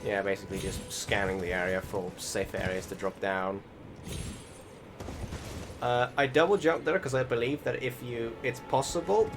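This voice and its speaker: A man's voice: medium pitch, fairly even intonation, moderate pace. Hesitant man commentating a game over music. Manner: hesitant, rambling, word-searching. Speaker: gaming channel host. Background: music behind the voice.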